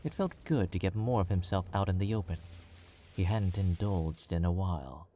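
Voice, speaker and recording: A male narrator with a very low, deep voice reads the story in a calm and measured way. His tone stays steady and controlled as he carries the prose. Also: slight background noise.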